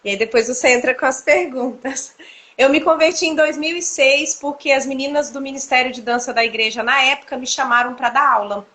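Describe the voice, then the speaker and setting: A high-pitched woman, chatting casually on a video call. She tells her story in an animated way, laughing often and filling pauses as she goes. Her voice sits well above the usual for women. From Cuiabá, Mato Grosso, Brazil. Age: 30s. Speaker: church dance ministry leader, guest. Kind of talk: casual testimony chat.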